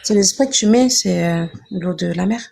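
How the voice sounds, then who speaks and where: A woman calls in to a live stream and chats casually, asking caring, gentle questions. Her voice is husky and gravelly. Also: mid-range voice, normal speed. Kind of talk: casual chat.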